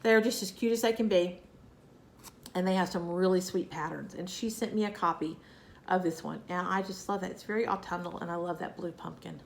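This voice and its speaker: A woman's voice: fairly low pitch, steady tone, brisk, vocal fry. A woman with a Southern US accent chatting casually about her cross-stitch projects, her voice nasal and scratchy from a cold, rambling with fillers and asides. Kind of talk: casual chat to camera.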